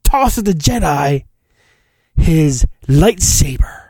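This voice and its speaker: Animated man giving a hammy comic reading. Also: a little high, drawn-out words, gravelly, slightly rough, no echo, clean studio sound. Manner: hammy delivery broken by joking, profane asides. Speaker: podcast host. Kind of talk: comedic dramatic storybook reading.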